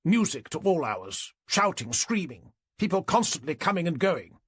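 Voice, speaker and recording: A man's voice: fairly high pitch, big pitch swings, moderate pace, a bit bright, breathy edge, no echo, pitched above the performer's own voice, full character voice. A man voicing an indignant, pompous middle-aged neighbour in an audiobook, with a clipped British accent. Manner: pompous, exasperated.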